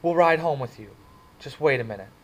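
A man's voice: medium pitch, moderate pace, lightly acted. A man voicing an earnest, frightened teenage girl who pleads and scolds. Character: upset teenage girl, tender and fearful.

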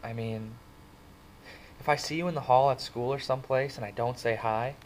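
A man's voice: mid-range voice, fairly even intonation, moderate pace, slight background noise, voice pushed up, lightly acted, low-quality recording. A man voicing an earnest, frightened teenage girl who pleads and scolds. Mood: soft, earnest. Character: upset teenage girl, tender and fearful.

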